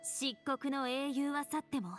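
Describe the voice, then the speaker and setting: A woman's voice: high-pitched, level tone, fairly quick, faint music behind, close to an everyday voice. An actress voicing a theatrical female bard who recounts heroic legends lyrically in a video game. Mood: dramatic narrating. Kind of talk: dramatic storytelling in a video game.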